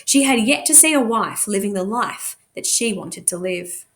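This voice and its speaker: A woman's voice: mid-range voice, animated, moderate pace. A woman narrating a novel expressively, as a teacher reading aloud to students.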